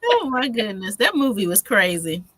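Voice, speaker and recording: A woman's voice: medium pitch, big pitch swings, normal speed, fairly bright tone, slightly rough, no echo. A woman co-hosting a casual chat, teasing and laughing a lot as she talks.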